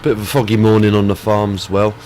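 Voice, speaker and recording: A man's voice: lowish voice, flat delivery, fast, a little mellow, a little hiss or hum. A man with a head cold, chatting casually through gameplay as a let's play host, rambling with lots of ums.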